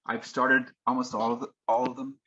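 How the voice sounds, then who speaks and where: A man's voice: medium pitch, little pitch movement, brisk, sharp tone. Hesitant man, dull lo-fi call audio. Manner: hesitant, self-repeating. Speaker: book club member. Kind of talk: casual video call introduction. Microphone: video call.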